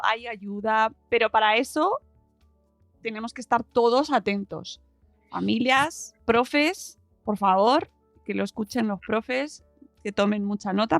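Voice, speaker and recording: High-voiced Spanish woman host with creaky edges. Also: fairly bright tone, no echo. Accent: Spain. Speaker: podcast host, interviewer. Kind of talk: casual conversational interview.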